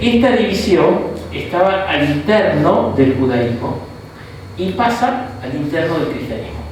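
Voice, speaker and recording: A man with an Argentine accent teaching a Bible class in a relaxed lecture style, often checking in with the room through "¿no?" and short asides. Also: fairly high pitch, slight background noise. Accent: Argentine Spanish. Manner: conversational, frequent "¿no?" and "¿tá?" tags, asides. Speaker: bishop teaching a Bible course. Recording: echoey room, mic far off.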